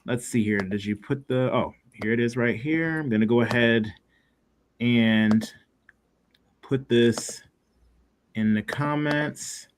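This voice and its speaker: A man with a mid-range voice hosts a livestream in a casual, chatty style. He rambles along encouragingly, often pausing to search for the right word. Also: mid-range voice, a little hiss or hum. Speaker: livestream show host. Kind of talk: casual livestream interview chat.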